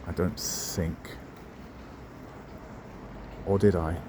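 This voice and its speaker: Middle-aged bloke, low voice, drifts between digressions and dry jokes, recorded on the street with faint traffic. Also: male voice, steady tone, drawn-out words, warm tone. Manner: wry, digressive, self-mocking asides. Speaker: travel vlogger. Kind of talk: rambling walking-tour commentary. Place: outdoors in a town centre street.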